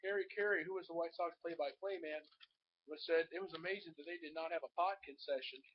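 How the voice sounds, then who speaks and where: A hoarse man with a cold, chatting casually about sports to viewers on a webcam at home, rambling and addressing them as folks. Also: fairly high pitch, fast talker, slight background noise. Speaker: sports vlogger. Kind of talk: casual sports commentary to camera. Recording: muffled webcam audio.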